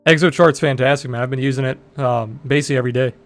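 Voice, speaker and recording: Deep-voiced young man ranting on stream. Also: steady tone, rapid-fire, faint music behind. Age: 20s. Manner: blunt, profane, rambling. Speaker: trading livestream host. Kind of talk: casual livestream chat answering viewers.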